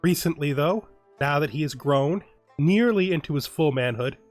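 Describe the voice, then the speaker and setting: A man's voice: on the high side, animated, moderate pace, a little mellow, soft background music. A man hosting a strategy game let's play, thinking aloud and often restarting and correcting himself. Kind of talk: casual game commentary.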